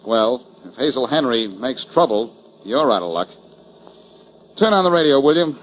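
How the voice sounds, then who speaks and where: An actor playing a confident, sardonic amateur detective in a 1940s American radio drama, wry and needling. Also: male voice, medium pitch, animated, normal speed, lightly acted. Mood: wry, urgent. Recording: dull, noisy 1940s radio recording.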